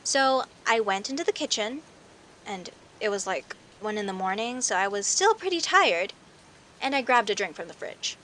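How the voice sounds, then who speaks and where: A woman playing a friendly, teasing young werecat neighbor in an audio roleplay, chatting playfully to the listener with hesitant pauses and theatrical emphasis. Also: medium pitch, lively intonation, a bit bright, a little hiss or hum, lightly acted. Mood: amused storytelling. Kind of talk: audio roleplay, casual one-sided conversation with the listener.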